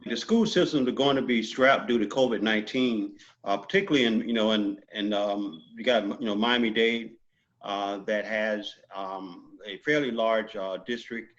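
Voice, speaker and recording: A man hosting an interview who asks long, halting questions full of restarts. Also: lowish voice, a bit bright, dull audio. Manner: halting, with many restarts and fillers. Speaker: interview host.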